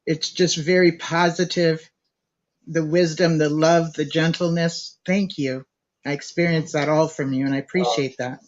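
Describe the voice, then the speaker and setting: A man's voice: on the high side, fairly even intonation, normal speed. A man hosting a group call, speaking in a gushing, sincere and heartfelt way. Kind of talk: casual call-in chat. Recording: muffled call audio.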